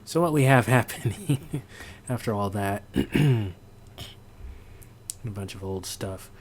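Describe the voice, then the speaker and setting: A man giving a casual, unscripted sound design tutorial, halting and thinking aloud as he works. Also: on the low side, a little mellow, slight background noise. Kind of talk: casual, unscripted software walkthrough.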